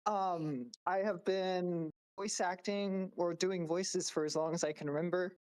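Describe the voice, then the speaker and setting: A man's voice: sits high, steady tone, measured pace, a little mellow, smooth. A man who works as a voice actor, casually introducing himself to a group.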